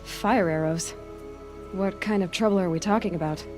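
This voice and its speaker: A woman's voice: on the low side, moderate pace, over music, close to an everyday voice. A woman playing a headstrong young outcast huntress in a video game, speaking directly with dry, questioning wit. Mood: curious. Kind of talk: video game cutscene acting.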